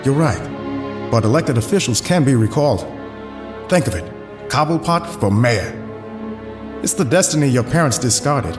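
A man's voice: medium pitch, lively intonation, moderate pace, pauses often, natural voice. A man playing an arrogant, scheming business tycoon in an audio drama, with a pompous, menacing manner. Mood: persuasive. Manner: pompous, smooth-talking. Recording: roomy echo, music underneath.

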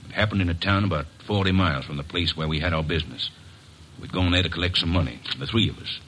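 An actor playing a burly, vengeful man who speaks bluntly and gruffly in a 1940s radio drama. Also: sits low, quick pace, fairly bright tone, slight background noise, low-quality recording. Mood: brooding. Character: big burly bearded man, grim and vengeful.

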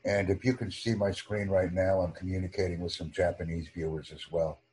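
Low, dark, husky American man. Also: fairly even intonation. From United States. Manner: formal, deliberate, over-enunciated phrasing. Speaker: YouTube channel host. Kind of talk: slow, careful video-call chat.

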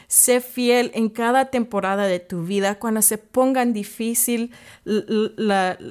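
A woman podcast host whose native language is English gives a devotional talk of encouragement in an earnest, halting way, repeating key phrases. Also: mid-range voice.

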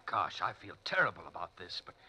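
A man's voice: low-pitched, brisk, slightly rough, exaggerated voice. A man playing a remorseful young friend who apologizes for a prank, in a 1940s radio drama. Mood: apologetic. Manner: apologetic, earnest, lively.

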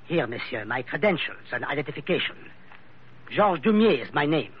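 A suave French investigator in a vintage radio thriller. His voice is high and husky, with a French accent and formal, courteous phrasing that swoops up and down. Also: sing-song, a little fast. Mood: explaining. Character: suave, suspicious Frenchman, insurance investigator with a pistol. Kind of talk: old-time radio drama acting. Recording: dull vintage radio recording.

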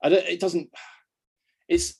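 A man's voice: high-pitched, big pitch swings, unhurried, vocal fry, husky. A middle-aged Scottish man chatting casually about football as a podcast guest, self-deprecating and happy to swear. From Scotland.

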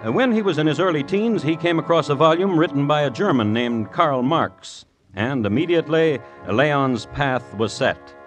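A man playing a droll crime connoisseur, narrating deliberately as host of an old radio show. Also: on the high side, lively intonation, soft background music, natural voice. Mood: dry, deliberate. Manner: dry, deliberate, wry. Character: droll, morbid male crime connoisseur and storyteller. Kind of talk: 1950s radio scripted narration.

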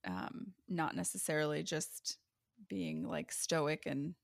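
Woman host with a low gravelly voice. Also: slow, no room sound, clean studio sound. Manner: warm, laughs easily, many "like" fillers. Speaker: podcast host. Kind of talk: casual interview chat.

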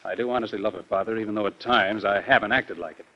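An actor playing an outspoken, earnest young gentleman, a president's son, in an old-time radio drama. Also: lowish voice, fast talker, natural voice. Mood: earnest, conciliatory. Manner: earnest, forthright, formally polite. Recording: muffled vintage radio recording.

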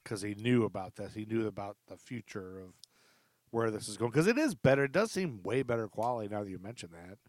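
A man's voice: on the low side, expressive, brisk, no echo. A middle-aged man hosting a podcast in casual chat, with a rambling, self-deprecating way of talking. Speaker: podcast host.

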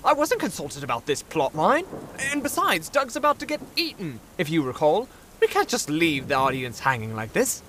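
Man as a high-pitched, indignant warlock. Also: very animated, normal speed, sharp tone, a little hiss or hum. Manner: petulant, stammering protests. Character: fussy, indignant male warlock, sarcastic and exasperated. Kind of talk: fantasy comedy audio drama acting.

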